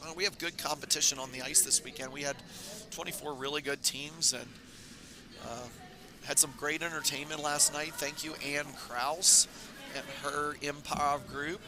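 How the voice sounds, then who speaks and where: A man commentating a curling tournament stream in a casual, rambling way, his voice raspy and hoarse from talking all weekend. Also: a little high, normal speed, noisy background. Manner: relaxed, rambling, many fillers. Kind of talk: casual live sports commentary. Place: curling club.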